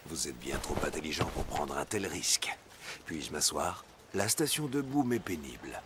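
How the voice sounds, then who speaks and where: An actor playing an enigmatic, courteous older gentleman in a French cartoon, formal, measured and ominous. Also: a bass voice, lively intonation, background noise. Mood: cool, courteous. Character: enigmatic older gentleman with a cane and a bad leg, grave, courteous. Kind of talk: French animated drama acting.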